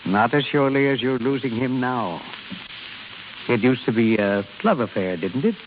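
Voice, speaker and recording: An actor playing a gruff but concerned old father in vintage radio drama, plain-spoken and earnest. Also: mid-range voice, expressive, normal speed, close to an everyday voice. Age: older. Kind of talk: 1930s-40s radio drama acting. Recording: tinny, hissy old radio recording.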